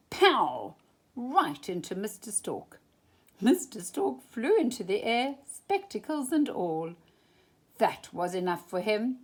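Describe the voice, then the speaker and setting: Slow, very animated woman reading a storybook. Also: medium pitch. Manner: expressive, emphatic, draws out key words.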